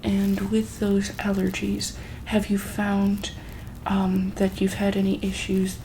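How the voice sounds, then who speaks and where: A woman speaks softly and very slowly, with plenty of fry in her voice. She plays a medical examiner in an ASMR roleplay, hesitating, repeating herself and slipping into whispers, over clearly audible background noise. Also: mid-range voice, monotone, low-quality recording. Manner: slow, hesitant, repeats questions gently.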